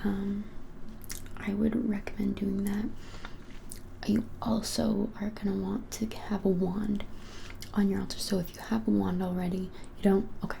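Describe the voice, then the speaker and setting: A woman in her twenties whispering a casual ASMR shopkeeper roleplay in a breathy, halting, helpful way. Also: mid-range voice, a little hiss or hum. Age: 20s. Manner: soft, hesitant, halting, helpful explaining. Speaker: ASMR creator playing a witch shop keeper. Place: small plain indoor room.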